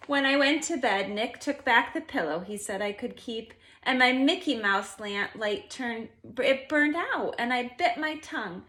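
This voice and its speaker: A woman in her forties reading a children's picture book aloud expressively, with deliberate, emphatic phrasing. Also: fairly high pitch, lively intonation, bright, forceful tone. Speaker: storybook reader. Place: living room at home, indoors.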